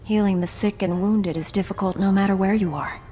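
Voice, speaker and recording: Actress as composed young heroine, long reverb. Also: female voice, mid-range voice, fairly even intonation, dark tone, lightly acted. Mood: composed, dry. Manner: composed, measured, dryly teasing. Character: composed, wryly teasing female Jedi Knight hero. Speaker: video game player character. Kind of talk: video game voice acting. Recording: long hall reverb, game music underneath.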